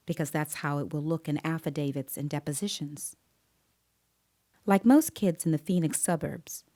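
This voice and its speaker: A woman playing a composed, observant child interviewer, narrating an audio drama in a measured, deliberate way. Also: low-pitched, warm tone, close to an everyday voice. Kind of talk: scripted dramatic first-person narration. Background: musical scoring.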